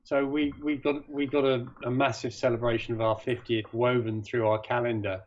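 Male guest, halting and self-correcting, muffled video-call sound. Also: medium pitch, little pitch movement, slight background noise. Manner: hesitant, many restarts. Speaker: sailing class chairman, guest. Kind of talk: casual video-call interview. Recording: dull video-call audio.

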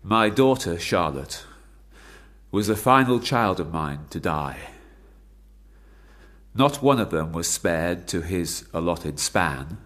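Slow, solemn old clergyman in mourning. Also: low-pitched, lively intonation, fairly bright tone, full character voice. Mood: grave, composed. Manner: measured, preacherly, formal. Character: grieving elderly clergyman father, solemn and devout. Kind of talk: radio play acting, funeral sermon.

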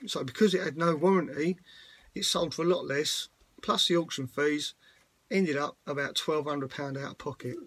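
A man's voice: fairly high pitch, normal speed, fairly bright tone, husky, no room sound. A man vlogging casually outdoors beside parked vans, talking in a rambling, plain-spoken way with plenty of fillers. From United Kingdom. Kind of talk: casual walkaround vlog.

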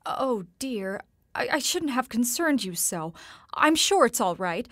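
A woman playing a devoted, gently worried mother in a nostalgic period audio drama, speaking in a genteel, tender and proper way. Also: on the high side, big pitch swings, unhurried, no room sound, studio quality, heavily acted.

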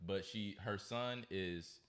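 Young American male podcast host, low register, insistent, conversational debater. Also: slow, fairly bright tone, clean tone. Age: young adult. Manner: insistent, repeats his points. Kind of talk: casual debate among friends. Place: living room, on a couch. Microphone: podcast microphone on a stand, close.

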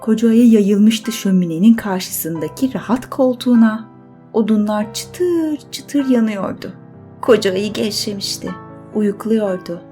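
A woman narrating a scripted children's bedtime story expressively, with dramatic pauses. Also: mid-range voice, animated, warm tone, faint music behind.

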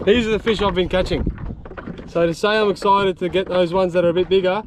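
A man's voice: sits high, fairly even intonation, a little fast. A young Australian man giving casual, laid-back vlog commentary in a matey, colloquial way while out on an open boat. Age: young adult. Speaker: fishing vlogger. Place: outdoors on a small open boat at sea. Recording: noisy outdoor recording on open water.

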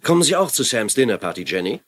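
An actor playing an affable, self-assured hospital doctor, genial and probing, in a dubbed TV drama. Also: male voice, mid-range voice, very animated, quick pace, fairly bright tone. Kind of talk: dubbed TV mystery drama acting.

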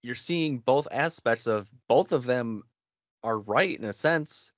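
A man, co-hosting a podcast in a laid-back, halting, conversational way. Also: medium pitch, animated, dry recording. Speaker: podcast co-host. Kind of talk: casual chat about video games. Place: home bedroom. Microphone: headset, video call.

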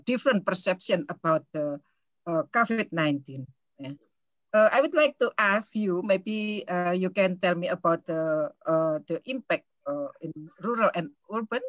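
A woman's voice: lowish voice, no echo. Woman, Indonesian accent, hesitant, many fillers, dull lo-fi call audio, dry. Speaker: conference participant asking a question. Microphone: video call.

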